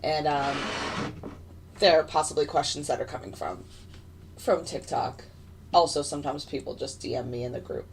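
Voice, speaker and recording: A woman with a slightly low, raspy voice talks through a live trading stream in loose, rambling commentary, full of fillers and restarts. She is fighting hiccups. A faint hiss of background noise sits under her voice. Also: normal speed, long pauses, poor audio. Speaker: futures day trader streaming live. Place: home desk in front of a computer.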